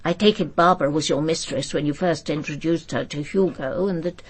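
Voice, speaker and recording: A woman's voice: low voice, fairly quick. An actress playing an elderly English aristocratic mother in a radio drama, cold, shrewd, clipped and commanding, with an upper-class accent. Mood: icy, cutting. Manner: clipped, commanding, icily formal. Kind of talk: British radio drama acting.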